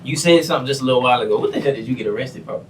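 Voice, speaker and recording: A man's voice: mid-range voice, racing, recorded from a distance, slight background noise. A young man hosting a casual interview podcast, talking in a laid-back way with lots of fillers. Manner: laid-back, frequent fillers like "you know what I'm saying". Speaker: podcast host.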